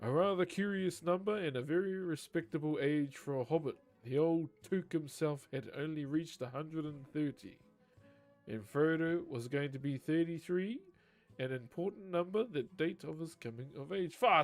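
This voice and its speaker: A man reading a novel aloud on a live stream, chatting casually with viewers between passages and swearing freely. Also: fairly high pitch. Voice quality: naturally loud voice. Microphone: desk microphone.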